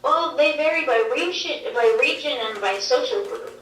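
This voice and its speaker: A woman whose first language is Swedish, answering interview questions on linguistics over a video call, explaining in a measured way with examples. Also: sits high, animated, fairly bright tone. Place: played through a wall screen in a dim room. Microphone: video call heard over speakers. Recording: roomy, distant playback from a screen.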